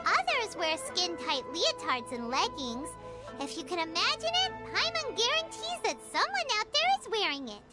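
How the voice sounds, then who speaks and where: A woman's voice: very high-pitched, sing-song, moderate pace. A woman voicing a small, childlike, cheeky fairy companion in a video game, who refers to herself in the third person. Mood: chatty, excited. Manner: talks about self in third person. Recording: loud cheerful game music behind.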